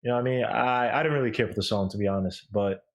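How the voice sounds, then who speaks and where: A man's voice: mid-range voice, quick pace. A man giving music opinions in casual chat, hedging and hesitant as he talks. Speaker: music reviewer on a panel.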